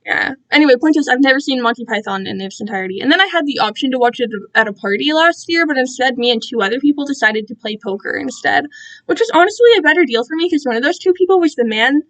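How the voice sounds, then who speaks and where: High-pitched young woman, rambling chattily. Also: animated, fast, fairly bright tone, poor audio. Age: young adult. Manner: self-deprecating, digressive, slangy. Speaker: livestreamer. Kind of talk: casual rambling chat to livestream viewers. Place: alone in own room.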